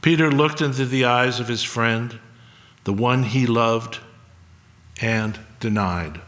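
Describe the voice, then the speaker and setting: A man narrates a dramatic scripted story. His voice is medium in pitch, and he moves slowly and solemnly, placing each phrase with deliberation. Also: a bit bright.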